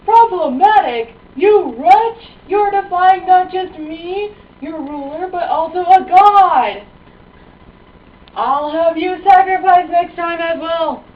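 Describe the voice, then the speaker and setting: A woman's voice: very high-pitched, lively intonation, takes it slowly, full character voice. A woman voicing a pompous, ill-tempered male Aztec king with imperious declaiming in an amateur comic puppet show. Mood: outraged, imperious. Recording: tinny distant lo-fi mic, noisy room.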